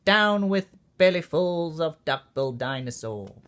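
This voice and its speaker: A man voicing a sensitive young misfit dinosaur in a storybook, with drawn-out hooting cries. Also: on the high side, expressive, a bit bright, pitched above the performer's own voice. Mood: earnest protest. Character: sensitive young male dinosaur, gentle misfit, plaintive hooter.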